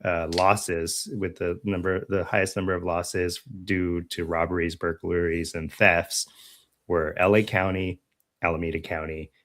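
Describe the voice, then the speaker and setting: An American man co-hosting a podcast, discussing local news casually in a measured, halting way. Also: deep voice, level tone, a bit bright. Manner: halting, measured, frequent throat clearing. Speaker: podcast co-host.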